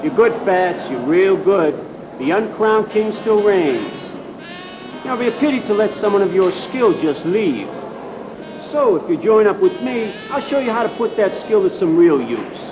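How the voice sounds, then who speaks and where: An actor playing a slick, oily crime boss in a video game cutscene, falsely genial and wheedling. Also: male voice, sits high, sing-song, moderate pace, noisy background. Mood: grudging admiration.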